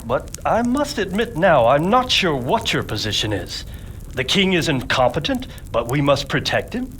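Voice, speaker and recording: A man's voice: a little high, very animated, normal speed, a bit bright, a little hiss or hum, low-quality recording. A man playing a respectful but doubting nobleman in a game cutscene, courteous and frank. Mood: doubtful, concerned. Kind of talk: dramatic game cutscene dialogue.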